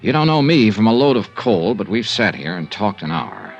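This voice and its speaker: An actor playing a smooth, wry insurance investigator in a 1950s radio detective drama, with clipped, understated narration and talk. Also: male voice, fairly low pitch, big pitch swings, normal speed, dull audio. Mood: intimate, probing. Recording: old radio drama with music behind.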